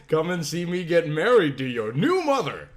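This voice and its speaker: A man's voice: fairly high pitch, huge pitch swings, normal speed. A man voicing a sinister, falsely sweet villain father with a smug, taunting manner in an amateur story reading. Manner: smugly taunting, mock-affectionate.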